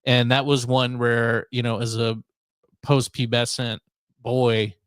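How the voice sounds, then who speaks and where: A man's voice: medium pitch, level tone, slow, smooth, no room sound. A middle-aged American man chatting casually as a podcast host. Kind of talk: casual chat.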